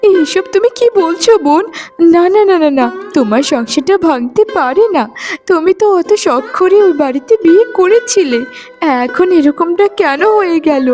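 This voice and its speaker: A woman performs a kind young daughter-in-law from a cartoon family drama. Her high voice is earnest, deferential and sisterly, delivered with melodramatic feeling over faint music. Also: expressive, normal speed, darkish tone. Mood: shocked, sympathetic.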